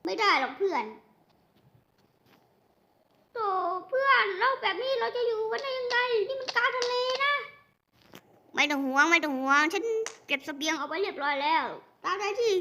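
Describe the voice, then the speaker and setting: A child acting out playful, improvised cartoon story dialogue between several characters, switching from one to another. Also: extremely high pitch. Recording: noisy home recording.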